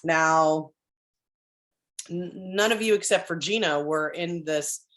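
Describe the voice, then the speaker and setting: A woman with a deep voice telling a rambling story on a video call from home, with many restarts and throat clearing. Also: slow, a bit bright. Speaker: spiritual group member sharing updates. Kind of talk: casual chat on a group call. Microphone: computer on a video call.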